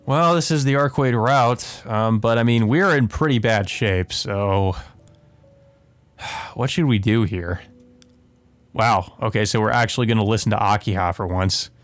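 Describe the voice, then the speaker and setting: A man's voice: fairly low pitch, unhurried, no room sound. Male let's player over music. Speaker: let's play host. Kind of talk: reading visual novel narration with casual commentary. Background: music behind the voice.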